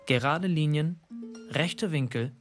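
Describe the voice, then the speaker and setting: An actor playing the observant, measured narrator of a literary radio drama. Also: male voice, on the high side, fairly bright tone, soft background music.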